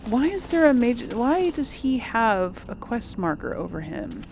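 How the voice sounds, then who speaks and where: A woman narrates her game casually, thinking aloud. Her pace is relaxed and her intonation swings freely, with some creak in the voice and faint noise behind. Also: on the high side, a little slow. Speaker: video game streamer, host. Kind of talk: casual let's play commentary.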